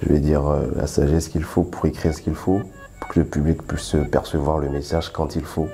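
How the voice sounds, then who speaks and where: A male singer with a Beninese French accent talks in a relaxed, easygoing way as an interview guest. His voice is extremely low. Music plays clearly in the background. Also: moderate pace. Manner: relaxed, laughs easily, mixes in English. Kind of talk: casual interview.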